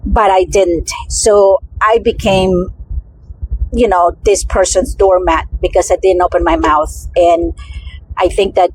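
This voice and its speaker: A woman's voice: lowish voice, fairly even intonation, takes it slowly, a little mellow, slight background noise, poor audio. A middle-aged woman with a Spanish accent, talking in a casual personal vlog and rambling through many restarts and fillers. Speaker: vlogger.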